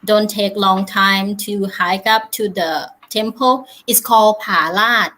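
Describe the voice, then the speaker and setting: A woman's voice: mid-range voice, takes it slowly. A Thai woman, a Thai language teacher, talking as a guest in a casual interview, with many "like" fillers. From Thailand. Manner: frequent "like" fillers, relaxed and friendly.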